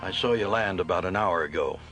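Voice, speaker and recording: A man's voice: low voice, brisk, close to an everyday voice. An actor playing an earnest, urgent space crewman in a science fiction audio drama. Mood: grave, explaining. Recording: music under the voice.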